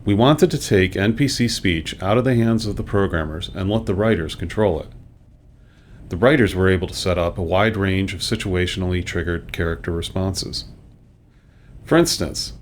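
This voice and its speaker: A man who works as a game developer, reading scripted commentary. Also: normal speed. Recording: clear low rumbling background noise.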